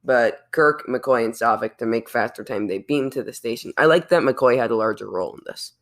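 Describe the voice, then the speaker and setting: A man's voice: medium pitch, a little fast, a bit bright. Teenage male, podcast co-host, casual recap, jokey, loose delivery. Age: teens. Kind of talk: casual film recap chat.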